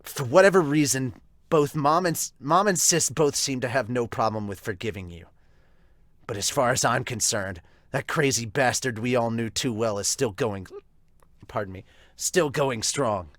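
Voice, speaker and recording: A man's voice: mid-range voice, moderate pace, dry recording, studio quality, natural voice. A man voicing a young man lashing out at his father with bitter sarcasm, while fighting hiccups. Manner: bitter, sarcastic, rising to shouting.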